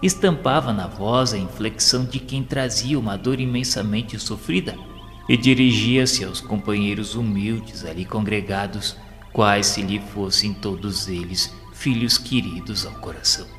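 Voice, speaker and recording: A man narrating an audio novel in a solemn, formal, measured, scripted dramatic style. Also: fairly low pitch, a bit bright. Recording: long reverb with faint music behind. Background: music.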